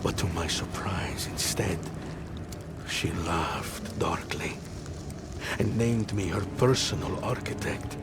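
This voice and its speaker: A man's voice: low voice, slow pace, loud music behind the voice. An actor playing a fanatically devoted royal architect in a fantasy game, theatrical and reverent in his delivery. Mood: awed devotion. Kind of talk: scripted fantasy game monologue.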